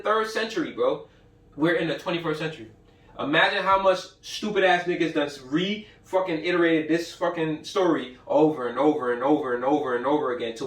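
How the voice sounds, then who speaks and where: Echoey, high-voiced American man ranting. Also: bright, forceful tone. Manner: slangy, profanity-laden, emphatic, repeats phrases for emphasis. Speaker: livestreaming lecturer. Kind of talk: informal, profane livestream lecture. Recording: echoey room, distant from mic.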